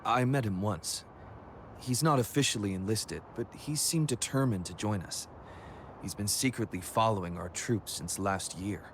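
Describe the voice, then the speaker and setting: A guarded frontline soldier from a video game, voiced by a man. His voice sits a little low and sounds firm and matter-of-fact, with faint noise behind it. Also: normal speed, natural voice.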